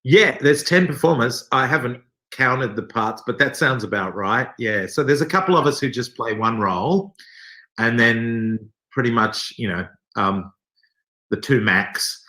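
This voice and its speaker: A man's voice: mid-range voice, animated, moderate pace, bright, forceful tone. An Australian man explaining things in a casual interview, thinking aloud with some wry humour. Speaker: theatre company director being interviewed.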